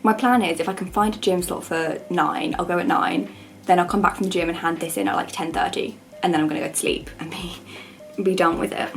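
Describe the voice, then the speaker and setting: A young woman with a croaky voice, chatting informally and rambling in a self-deprecating way as a student vlogger. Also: lowish voice, fairly even intonation, fairly quick, faint music behind. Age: young adult. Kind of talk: casual chat to camera.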